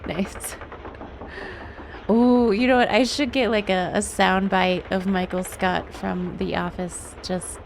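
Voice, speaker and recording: A woman's voice: medium pitch, fairly even intonation, takes it slowly, vocal fry, a little hiss or hum. A giggly, chatty woman chatting casually and reacting as she streams a video game. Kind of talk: casual livestream chat and gameplay reactions. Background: game music.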